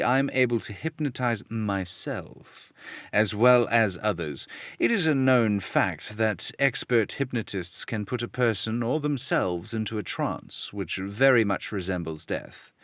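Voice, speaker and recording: A man playing a sly, menacing hypnotist villain in an old radio serial style, smug and deliberate. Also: fairly low pitch, a bit bright, no room sound, clean studio sound.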